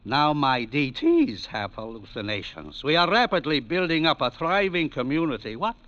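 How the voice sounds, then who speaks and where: An actor playing a grandiloquent, shaky skid row drunk with pompous, florid diction in a 1940s radio drama. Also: male voice, fairly high pitch, sing-song, moderate pace, fairly bright tone. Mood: boastful, rambling. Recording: dull, band-limited vintage radio recording.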